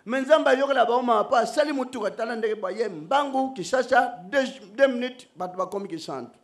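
Middle-aged guy preaching on an outdoor stage, really high voice, jokey and animated, yells then goes soft. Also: fairly quick. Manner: animated, joking, switching between shouting and soft asides. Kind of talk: sermon. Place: outdoor church stage under a canopy. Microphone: stand microphone.

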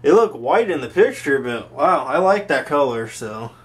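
A man's voice: medium pitch, sing-song, moderate pace, breathy edge. A man hosting a hobby tech vlog, casually narrating a tour from behind a handheld camera in a small room at home. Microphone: handheld camera held by the speaker.

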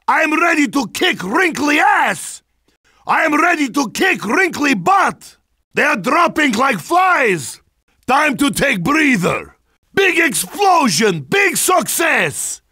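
A boozy, sardonic Russian soldier for a video game. His voice sits high and swings widely, with a forceful edge. He blusters and mocks in thick Russian-accented broken English, dropping his articles. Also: very animated, slow, no room sound, studio quality, full character voice. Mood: battle excitement. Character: hard-drinking boisterous Russian soldier, gruff and sardonic. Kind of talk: video game character barks.

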